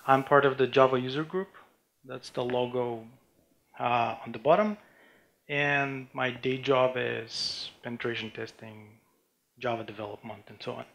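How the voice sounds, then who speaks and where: A man from Bulgaria, giving a technical conference talk with a live demo and talking in a halting way, with many restarts and fillers. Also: mid-range voice, fairly even intonation, creaky, fairly bright tone. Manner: halting, frequent restarts and fillers while demoing. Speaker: conference speaker. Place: conference room before an audience.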